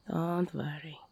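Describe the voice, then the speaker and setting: A woman playing an older, tough ex-con girlfriend in an intimate audio roleplay, tender, teasing and insecure, confiding haltingly in a husky, half-whispered voice. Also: low voice, a little mellow, exaggerated voice. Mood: playful, teasing. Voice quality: husky, gravelly, breathy.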